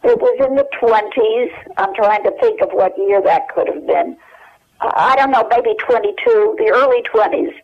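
Over a dull telephone line, an older woman reminisces in a conversational way. Her voice sits at a middle pitch, and she wanders hesitantly through her stories as an interview guest. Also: very animated, moderate pace. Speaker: interview guest sharing family memories.

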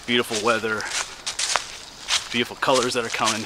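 A middle-pitched American man talks casually to his camera as he hikes. He rambles in a folksy, thinking-aloud way. The outdoor recording carries clear background noise. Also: level tone, fairly quick. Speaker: hiking vlogger and teacher. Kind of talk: casual chat while walking a trail. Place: outdoors on a wooded trail.

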